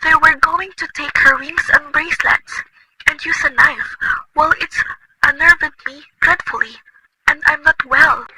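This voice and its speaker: Woman doing a high-pitched frantic invalid lady, demanding, loud hiss behind. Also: fairly even intonation, unhurried. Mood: anxious. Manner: shrill, agitated, imperious. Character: nervous bedridden wealthy woman, demanding. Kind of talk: amateur radio play acting. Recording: loud noisy recording.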